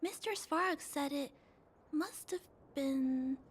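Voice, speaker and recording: A woman's voice: high voice, takes it slowly. A woman playing a shy, sweet little girl in a video game, speaking hesitantly. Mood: timid, curious.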